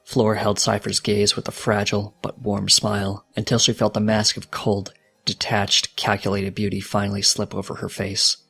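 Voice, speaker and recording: A man reading fiction aloud as a dramatic, solemn narrator with a deliberate delivery. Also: lowish voice, completely flat delivery, vocal fry, a bit bright, soft background music. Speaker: fanfiction narrator.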